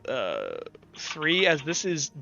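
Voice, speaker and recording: A man narrating a tabletop role-playing game as game master, improvising in a casual, halting way. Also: a little high, wildly swooping pitch, creaky, bright, forceful tone, lo-fi sound. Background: faint music.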